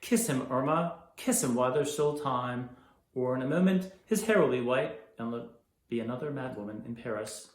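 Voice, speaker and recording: A man's voice: medium pitch, moderate pace, natural voice. A man playing an eccentric, romantic madwoman of Paris, urgent and commanding in a theatrical monologue. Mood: wistful, insistent. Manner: theatrical, insistent. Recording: echoey room.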